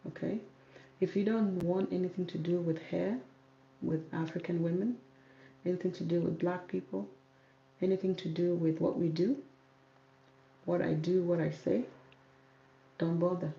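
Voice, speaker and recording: A woman's voice: on the low side, steady tone, normal speed, vocal fry, pauses often, dark tone. A young woman who hosts a hair channel answers viewer comments on a livestream, firm and repeating herself for emphasis. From South Sudan, raised in Kenya. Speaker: YouTube hair channel host. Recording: roomy, dull audio with faint noise.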